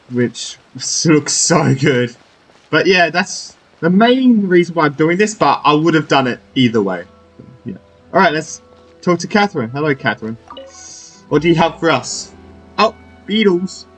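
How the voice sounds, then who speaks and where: Guy gaming and chatting, jokey, husky voice, bouncy pitch. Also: fairly bright tone, rough recording. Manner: chatty, jokey, reacts and laughs. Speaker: gamer playing through a story quest. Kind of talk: casual let's-play commentary. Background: faint game music.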